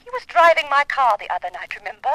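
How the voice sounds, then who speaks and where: A woman's voice: high voice, big pitch swings, fast talker, pushed and bright, full character voice, poor audio. Imperious female client, formal, clipped and icily menacing, vintage radio crime drama. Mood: icy. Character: imperious, coolly threatening female client of a detective. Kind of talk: 1950s radio drama acting.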